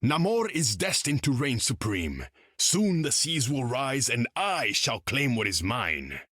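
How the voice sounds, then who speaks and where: An imperious ruler of the deep for a video game. His husky voice swoops through grand, formal phrases with pompous pride, recorded close and dry. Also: big pitch swings, measured pace, sharp tone, no echo, heavily acted. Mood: menacing, haughty. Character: haughty imperious undersea king, proud conqueror. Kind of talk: video game character dialogue.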